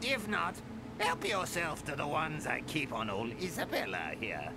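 An actor playing a drunk, weathered old desert guide in a video game, gravelly and rambling, speaking theatrically. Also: male voice, fairly high pitch, huge pitch swings, normal speed. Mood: ominous, genial. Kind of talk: video game character acting. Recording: game music over the voice.

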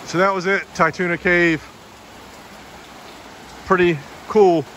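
A man's voice: sits high, slow pace. Rambling man vlogging in a noisy cave. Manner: relaxed, rambling, filler-heavy commentary. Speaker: hiking vlogger. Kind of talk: casual vlog narration. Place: inside a cave. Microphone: handheld camera held by the speaker.

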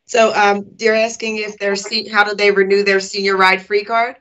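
A woman's voice: mid-range voice, flat delivery, moderate pace, fairly bright tone. A middle-aged woman answering questions as a guest panelist, precise and formal, on a video call. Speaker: guest panelist from transit agency. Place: small office. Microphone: video call computer microphone. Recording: slightly dull video call audio.

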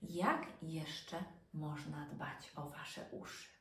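A woman in her thirties, a doctor and storyteller, giving an educational talk to young children in an expressive way, with rhetorical questions and dramatic pauses. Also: low-pitched. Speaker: doctor and children's storyteller presenting health tips. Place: small furnished room indoors. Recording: roomy, distant mic with background noise.

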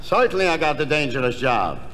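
A man playing an exasperated, self-important bank-robber boss in a comedy sketch, condescending and deliberate. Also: fairly high pitch, big pitch swings. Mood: bossy, instructing. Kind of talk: 1960s comedy album sketch acting. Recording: noisy, reverberant 1960s comedy record.

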